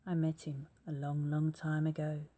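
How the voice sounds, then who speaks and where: A big, boastful monster dad from a storybook, as a woman puts him on, spinning a tale for his child. The voice is dark, husky and low-set, slow and storytelling. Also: monotone. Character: big old male monster father, boastful. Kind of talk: storybook read-aloud.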